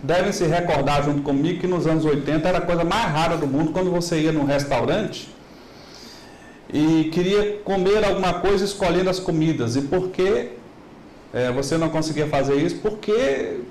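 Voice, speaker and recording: Middle-aged guy from minas, fairly high voice, lecturing in an echoey hall with a faint hum behind. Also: moderate pace. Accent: Minas Gerais Brazilian Portuguese. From Minas Gerais, Brazil. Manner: animated, asks the audience rhetorical questions. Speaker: business lecturer. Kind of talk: motivational business talk. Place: large hall.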